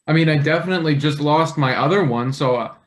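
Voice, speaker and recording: A man's voice: fairly high pitch, fast talker, natural voice. A man playing an eager, hesitant young male intern with dry wit in a stage play table read. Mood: tentative, wry.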